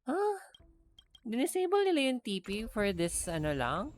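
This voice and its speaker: A woman's voice: on the high side, huge pitch swings, moderate pace, a little hiss or hum. A woman with a Filipino accent, speaking casually as she live-streams a game and slipping between languages in her asides. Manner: mixes English with Tagalog in casual asides. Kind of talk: live gaming stream, reading game text aloud and commenting casually.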